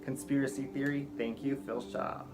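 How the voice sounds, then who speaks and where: A middle-aged man spins a campy, foul-mouthed story, drifting off on tangents with plenty of fillers. His voice sits at an ordinary middle pitch. Clear music plays behind him in a small home room. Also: fairly even intonation, normal speed. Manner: campy, profane, chatty, full of fillers. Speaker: drag performer and show host. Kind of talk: rambling comic storytelling monologue.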